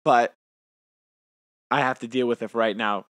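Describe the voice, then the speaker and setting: A man's voice: mid-range voice, steady tone, moderate pace, a bit bright, dry recording. A man in his twenties co-hosting a casual podcast, talking with a lisp from new teeth aligners, chatty and self-mocking. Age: 20s. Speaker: podcast co-host. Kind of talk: casual chat.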